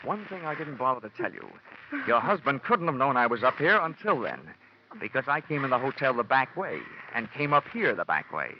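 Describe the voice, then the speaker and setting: An actor playing a cocky, hot-tempered American insurance investigator in 1940s radio detective style, brash, sardonic and hard-boiled. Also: male voice, mid-range voice, big pitch swings, fairly quick, close to an everyday voice. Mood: tough, taunting. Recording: muffled, noisy vintage radio recording.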